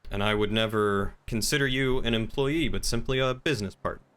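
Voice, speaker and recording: A man streaming a roleplay game, chatting casually and talking in character in a wry, measured way. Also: fairly low pitch, fairly bright tone, smooth. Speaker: game streamer playing a crime boss.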